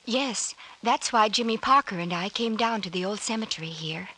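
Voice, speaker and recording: An actress playing an anxious, polite young injured heroine in an old-time radio drama. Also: female voice, medium pitch, lively intonation, moderate pace, bright, forceful tone, husky. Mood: shaken. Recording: slightly noisy vintage radio recording.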